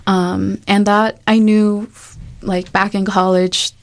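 Casting: young woman, Guatemalan-born, Chicago-raised, native Spanish speaker, mid-pitched voice, informal and passionate conversational delivery. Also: level tone, takes it slowly. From born in Guatemala, raised in Chicago, USA. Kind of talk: conversational panel discussion.

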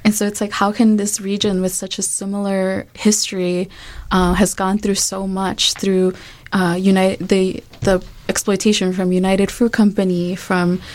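A woman's voice: mid-range voice, little pitch movement, normal speed. Young woman from Guatemala and Chicago. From born in Guatemala, raised in Chicago, USA. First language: Spanish. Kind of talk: conversational panel discussion.

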